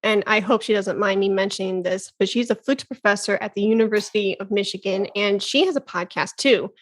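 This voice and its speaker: A woman's voice: mid-range voice, a little fast. A woman in her thirties hosting a podcast, chatting casually and exclaiming often with laughs. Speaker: podcast host. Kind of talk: casual interview chat.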